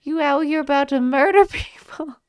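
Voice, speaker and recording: A woman's voice: sits high, moderate pace, dry recording, clean studio sound. A woman livestreaming a game playthrough, chatting casually and informally in a giggly manner. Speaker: game streamer.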